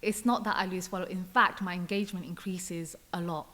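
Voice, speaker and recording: Young woman guest, even and unhurried, relaxed interview chat. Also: on the low side. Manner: calm, reflective, often quotes herself. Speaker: podcast guest.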